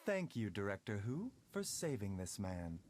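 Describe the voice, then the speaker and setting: An actor voicing a calm, courteous, enigmatic doctor in a video game, speaking in a formal, measured way. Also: male voice, fairly low pitch.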